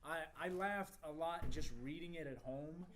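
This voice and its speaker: A man with a slightly high-pitched voice gives informal critique to a group. He thinks aloud, hesitating and breaking his phrases as he works toward each point. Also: unhurried, noisy background. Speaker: feedback giver at a screenplay table read. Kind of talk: casual spoken critique in a group discussion.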